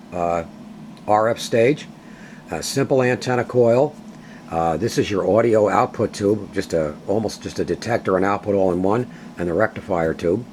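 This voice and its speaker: A man's voice: fairly low pitch, a little hiss or hum. An older man, a longtime radio collector, talking casually with many hesitations as he shows an antique radio at home. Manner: relaxed, folksy, many uhs. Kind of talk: casual show-and-tell.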